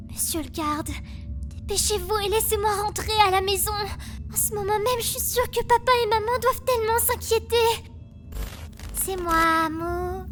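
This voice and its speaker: Anime dub girl prisoner, female performer: very high voice, cutesy, whiny, pleading self-pity. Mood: distressed pleading. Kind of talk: French anime fan dub monologue.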